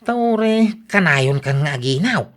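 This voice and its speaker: A tight-fisted older farmer with an Ilocano accent in a radio drama. His lively voice swings widely in pitch as he grumbles and exclaims, stubborn and always on the defensive. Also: takes it slowly. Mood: grumbling, agitated.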